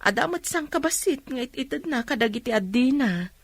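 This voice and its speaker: A woman's voice: high-pitched, lively intonation, fairly quick, no room sound. An actress playing a weary, plain-spoken Ilocano village mother, firm but patient, in a radio drama. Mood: weary refusal.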